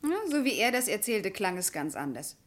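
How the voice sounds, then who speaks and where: An actress playing a friendly, admiring young secretary who speaks politely and readily in an old radio play. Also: female voice, mid-range voice, very animated, brisk, lightly acted. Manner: friendly and respectful, light laughter. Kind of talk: 1950s-80s radio play acting.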